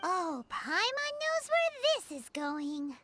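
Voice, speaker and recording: A woman voicing a tiny, excitable childlike fairy companion in a video game, squeaky and bubbly. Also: slow pace, heavy background noise, full character voice. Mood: eager, scheming. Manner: speaks of herself in third person, bubbly. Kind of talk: animated video game voice acting. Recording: loud background music.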